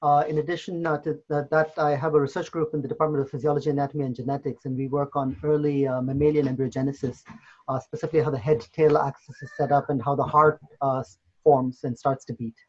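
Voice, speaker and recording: A man's voice: medium pitch, little pitch movement, fast talker, muffled recording. A middle-aged man, a medical tutor, giving a hesitant open day talk full of fillers. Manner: hesitant, many fillers and restarts. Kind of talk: virtual open day presentation.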